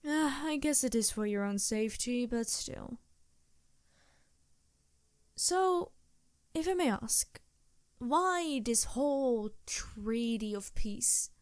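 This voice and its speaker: A woman acts out a haughty succubus with a highish voice, recorded close and dry. She draws her words out slowly, flirtatious and vain, in an intimate ASMR roleplay style. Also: animated, no room sound. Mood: exasperated, scheming. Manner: languid, flirtatious, theatrical, sighing. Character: vain, seductive succubus demon, haughty and teasing. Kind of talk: ASMR roleplay, improvised character acting.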